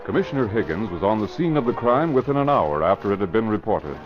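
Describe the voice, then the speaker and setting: A man's voice: fairly low pitch, very animated, fast, loud music behind the voice. A man narrating an old-time radio mystery in a dramatic scripted style. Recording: muffled vintage radio recording.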